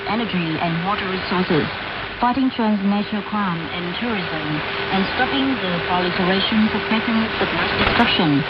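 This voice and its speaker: A woman radio reporter narrating a scripted news report in a formal broadcast style. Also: mid-range voice. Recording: muffled, very noisy shortwave radio reception. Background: heavy radio static and noise.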